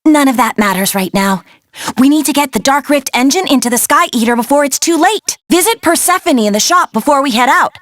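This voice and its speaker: High bright-hearted young adventurer gal from a video game, bossy but caring, really animated, dry studio sound. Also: female voice, dry recording. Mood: determined, concerned. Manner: brisk, earnest, encouraging. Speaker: video game character, dispatcher and guide.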